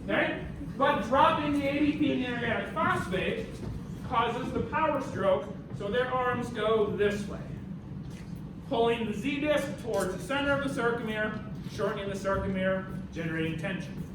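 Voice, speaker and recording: A man's voice: extremely high pitch, normal speed. An American man teaching as a university instructor, informal and theatrical, directing students and quizzing them with questions. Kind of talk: animated classroom lecture with questions and directions. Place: indoor university classroom during a live demonstration. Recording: echoey room, far mic, noisy.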